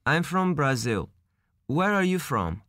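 A woman voicing a polite young man in a coursebook dialogue, in a slightly lower voice. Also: very animated, fairly bright tone, dry recording, clean studio sound, exaggerated voice. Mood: friendly. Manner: slow, careful, clear.

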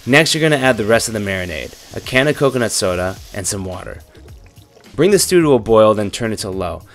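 A man's voice: fairly low pitch, brisk, faint music behind. Joking young man hosting a cooking vlog. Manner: deadpan, irreverent jokes.

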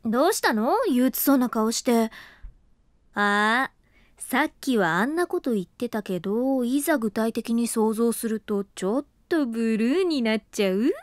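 A voice actress playing an overworked office woman who talks in a frank, expressive and flustered way, and also narrates the story. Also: a little high, unhurried, no echo, studio quality. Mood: chatty, flustered. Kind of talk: voice drama acting with inner narration. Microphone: close studio mic.

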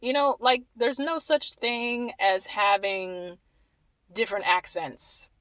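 A young woman from Maryland giving animated, wry commentary to the camera, repeating phrases for emphasis. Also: fairly high pitch, slow, no room sound, poor audio. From Maryland, USA. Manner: animated, wry, repeats herself when emphasizing, laughs at points. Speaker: vlogger. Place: small indoor room against a plain wall.

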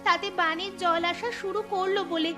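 A woman's voice: extremely high pitch, steady tone, normal speed. A woman voicing a sensible young village girl. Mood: worried. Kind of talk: cartoon voice acting. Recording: music under the voice.

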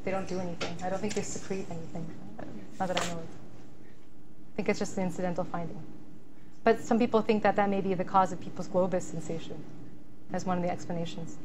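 A woman's voice: fairly low pitch, steady tone, a little fast, pauses often, background noise. A woman presenter answering questions after a medical talk, thinking aloud with restarts. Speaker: resident presenter. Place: lecture hall.

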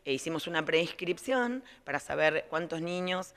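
A middle-aged woman with an Argentine accent answering interview questions as a school director, explaining things in a halting way as she rephrases. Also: low-pitched, animated, a bit bright. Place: large indoor playroom. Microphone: handheld reporter microphone.

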